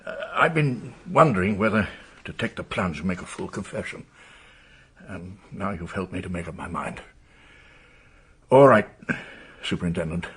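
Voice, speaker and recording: A lovestruck, titled British gentleman in a radio play. His voice is very husky and swings widely in pitch, with a halting, earnest, confessional manner. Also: animated, heavily acted. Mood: flustered, confessing. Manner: hesitant, halting. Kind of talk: radio drama acting.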